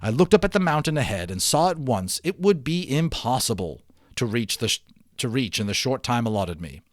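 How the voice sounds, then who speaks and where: A man reads a children's adventure novel aloud with expressive, emphatic delivery. His voice sits at a medium pitch in a dry, close-sounding recording. He narrates dramatically and also speaks in quieter explanatory asides. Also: fairly quick, dry recording. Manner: expressive, emphatic, with hushed drops.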